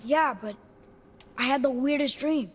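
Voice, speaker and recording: A man's voice: extremely high pitch, measured pace, fairly bright tone, a little hiss or hum. A young male actor playing an earnest, curious boy in a video game. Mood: uneasy. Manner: earnest, youthful, casual. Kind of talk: video game cutscene acting.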